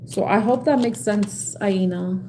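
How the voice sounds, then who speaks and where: A woman's voice: medium pitch, unhurried, dark tone, a little hiss or hum. A middle-aged woman from the South Bronx with a New York accent, giving a live tarot reading and chatting with viewers in a reassuring, rambling way, full of fillers and restarts. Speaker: tarot reader.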